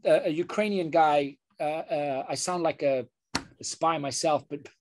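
A man's voice: fairly high pitch, a little mellow, a little hiss or hum. A man, a surgeon, speaking as an interview guest in an earnest way, often restarting his sentences. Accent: British. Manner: earnest, halting with many restarts. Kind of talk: interview conversation.

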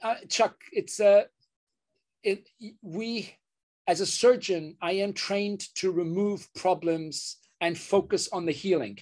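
Earnest male surgeon, interview guest, halting delivery with frequent restarts. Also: high voice, darkish tone. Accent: British. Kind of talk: interview conversation.

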